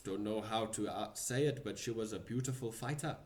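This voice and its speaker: A man's voice: on the low side, darkish tone, lightly acted. A man putting on a weary foreign-sounding adventurer. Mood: tired. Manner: halting foreign accent that wanders. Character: weary male adventurer after a battle.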